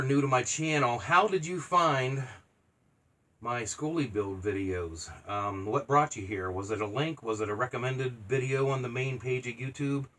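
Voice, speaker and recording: A man's voice: mid-range voice, a bit bright. A man chatting casually and unscripted as a vlogger, in a plainspoken, offhand way. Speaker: vlogger documenting a bus build. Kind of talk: casual unscripted vlog chat.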